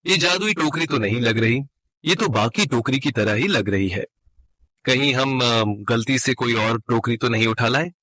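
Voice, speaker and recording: A man's voice: mid-range voice, normal speed, bright, forceful tone, no echo. A man playing a greedy village husband in a cartoon fable, eager and dramatic in his delivery. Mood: wary. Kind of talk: cartoon moral story acting.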